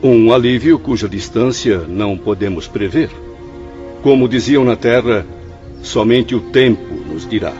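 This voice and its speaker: A Brazilian actor playing a troubled, resigned man in a radio drama, speaking in solemn measured phrases. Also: fairly low pitch, expressive, dark tone, soft background music. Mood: doubtful, resigned. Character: troubled male spirit, doubting yet resigned. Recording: dull lo-fi audio.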